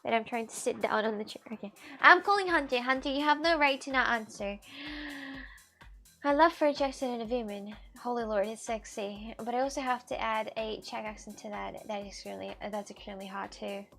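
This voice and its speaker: A woman's voice: on the high side, brisk, gravelly, bright, forceful tone, soft background music. A woman from Russia in her twenties, chatting casually and animatedly on a live stream, often repeating herself.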